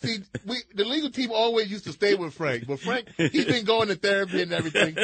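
A man's voice: sits high, animated, fairly quick, a bit bright, slightly rough, no room sound. A man bantering casually as a radio sidekick, exclamatory and incredulous, repeating himself for emphasis.

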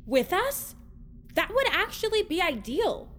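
A woman's voice: extremely high pitch, very animated, unhurried, a bit bright, a little hiss or hum, full character voice. An actress playing a sarcastic, exasperated teenage girl who takes charge, in an audio drama. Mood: incredulous. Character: bossy sarcastic teenage girl leading her friends. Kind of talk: audio drama comedy acting.

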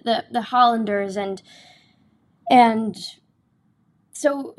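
A young adult woman stage actor, a guest in a casual interview, talking earnestly and enthusiastically with frequent restarts. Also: mid-range voice.

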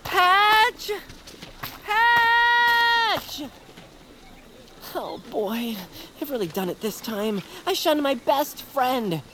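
An actress playing an anxious, polite, easily flustered young homebody in an audio drama, earnest and fretful. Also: female voice, sits high, expressive, drawn-out words, heavy background noise, exaggerated voice. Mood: desperate, then remorseful. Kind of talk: audio drama character acting.

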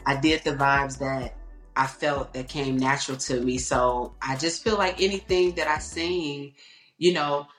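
A woman with a low alto voice, telling stories in a reflective way and peppering her talk with "you know". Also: measured pace, echoey room, soft background music. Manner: warm, reflective storytelling with many "you know"s. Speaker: guest singer. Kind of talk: casual interview talk.

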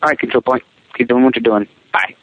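A man leaving a voicemail, giving a gameplay tip in deliberate, short measured phrases. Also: mid-range voice, steady tone, a little hiss or hum. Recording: tinny narrow phone line voicemail.

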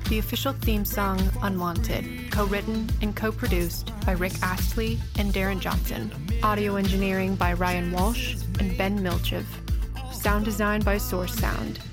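A woman announcer giving a scripted read of show credits. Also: medium pitch. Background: loud music.

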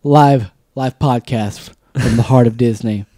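A man's voice: mid-range voice, unhurried, dark tone, no room sound, studio quality. A man in his twenties co-hosting a podcast in casual chat, laughing often and acting out quotes. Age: 20s. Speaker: podcast co-host.

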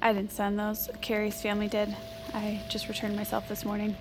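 An actress playing a blunt, quirky old confidante in an audio drama, deadpan with rambling odd humor. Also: female voice, mid-range voice, brisk, noisy background, natural voice. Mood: guarded, dry. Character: dry, quirky, frank old confidante of a First Lady. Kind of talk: audio fiction drama dialogue.

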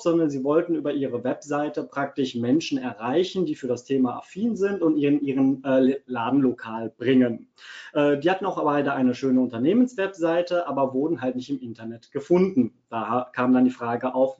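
A man's voice: mid-range voice, moderate pace, dark tone. A man from Cologne with a slight Cologne tinge, giving a live webinar as a consultant, chatty with many fillers and repeated words. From Germany, Cologne. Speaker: webinar presenter, SEO consultant. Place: home office. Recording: muffled lo-fi webinar audio.

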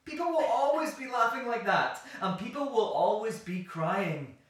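An actress in an audio play voicing an earnest, reflective idealist who speaks in measured, thoughtful argument. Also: female voice, a little high, animated, lightly acted. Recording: very echoey room, far from mic.

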